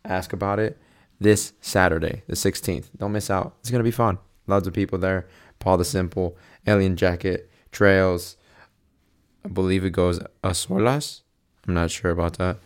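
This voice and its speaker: A man with a very low voice gives a laid-back promo for an event. He wanders a little and drops fillers as he goes. His tone is easygoing and unhurried. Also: fairly even intonation. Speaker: host. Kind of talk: casual event announcement.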